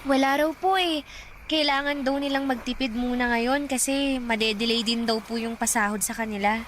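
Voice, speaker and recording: A woman's voice: fairly high pitch, steady tone, normal speed, fairly bright tone, a little hiss or hum, close to an everyday voice. An actress playing a timid, pleading teenage girl in a radio drama.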